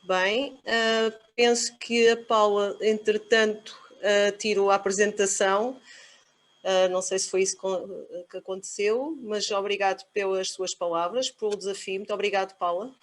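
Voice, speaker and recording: A woman with a Portuguese accent introduces a speaker over a video call. She hesitates often, with fillers between phrases. Her voice sits at a middle pitch. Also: fairly even intonation, normal speed. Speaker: session chair. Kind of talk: conference session chairing.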